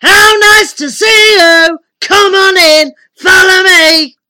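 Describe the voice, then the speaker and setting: A woman voicing a huge, jolly male giant wizard in a children's storybook, booming and exclaiming heartily. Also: steady tone, slow, bright, forceful tone, no room sound, heavily acted. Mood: booming welcome.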